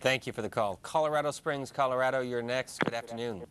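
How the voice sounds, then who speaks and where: Measured male call-in show host. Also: mid-range voice, fairly bright tone, a little hiss or hum. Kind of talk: live broadcast hosting, measured announcing.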